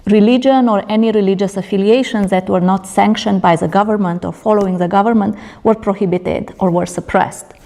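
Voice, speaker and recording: A woman's voice: mid-range voice, mellow. Earnest woman from Romania giving a conference talk. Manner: earnest, with many fillers and restarts. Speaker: conference presenter, doctoral candidate. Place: conference room.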